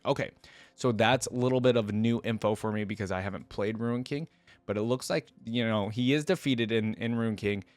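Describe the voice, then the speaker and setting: Low-voiced man, casual and chatty. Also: no echo. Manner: informal, chatty. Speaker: reaction video host. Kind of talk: casual commentary, reading aloud and reacting.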